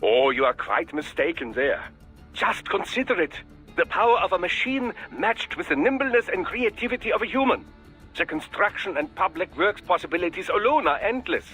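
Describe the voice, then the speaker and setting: An actor playing a genial, fussy, erudite male scientist in a video game, speaking in a formal, wordy, over-polite and precise way with a husky voice. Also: high voice, expressive, normal speed, pushed and bright, soft background music, heavily acted. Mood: enthusiastic, lecturing.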